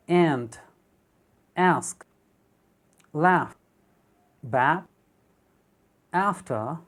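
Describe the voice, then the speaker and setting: A teacher who learned English as a second language narrates a lesson at an even pitch. The delivery is steady and explanatory, pausing to read word lists aloud one item at a time. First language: not English. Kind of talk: narrated vocabulary and pronunciation lesson.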